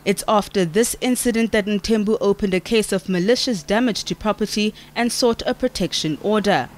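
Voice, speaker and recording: A woman's voice: mid-range voice, normal speed. Woman reading a news report. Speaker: field reporter. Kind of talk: scripted news voice-over.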